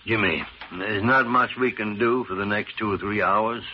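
Gruff, low-pitched senior doctor played by a man. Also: fairly even intonation, normal speed, natural voice. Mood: grim. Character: blunt, gruff senior male hospital doctor. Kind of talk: 1940s radio drama acting. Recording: dull, slightly noisy vintage radio recording.

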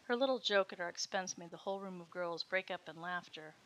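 A woman reading a first-person story in a steady, even narration. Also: lowish voice, fairly quick. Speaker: story reader.

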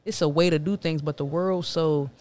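A woman's voice: deep voice, fairly even intonation, normal speed, a little mellow. A woman in her late twenties talking candidly and casually as a podcast guest, using street slang and repeating herself for emphasis. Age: late 20s, turning 30. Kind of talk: candid casual interview talk.

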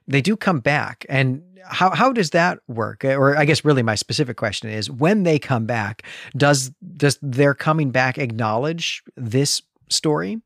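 A man's voice: mid-range voice, no echo, studio quality. A man hosting a podcast, talking through his points in a thoughtful, explanatory, conversational way. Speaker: podcast host. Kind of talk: casual comic book discussion.

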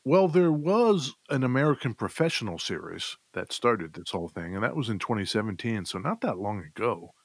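A man's voice: fairly low pitch, sing-song, moderate pace, hardly any pauses, husky, no room sound. A man co-hosting a casual gear chat, explaining things informally with some light joking. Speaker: podcast co-host reviewing guitar gear.

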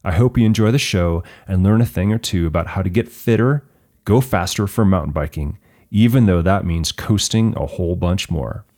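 A man's voice: low-pitched, moderate pace, mellow. A man hosting an interview podcast, introducing his guest and asking questions in an upbeat, encouraging way. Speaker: podcast host.

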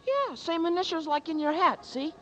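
An actress playing a brash bystander who explains things with slangy wisecracks, in 1940s radio acting style. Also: female voice, extremely high pitch, big pitch swings, moderate pace, bright, forceful tone, exaggerated voice, rough recording. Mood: wry. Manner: breezy, slangy.

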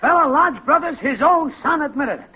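An actor playing a nosy, resentful American neighbor, prying and excitable, in 1940s radio comedy style. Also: male voice, very high voice, moderate pace, exaggerated voice. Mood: accusing. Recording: noisy, muffled old radio recording.

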